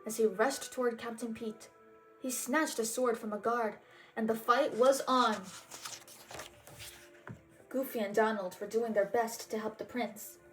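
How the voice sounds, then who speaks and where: Slow, higher-voiced woman reading a storybook. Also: steady tone, soft background music, rough recording. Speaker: storybook reader and channel host. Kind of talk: storybook read-aloud narration.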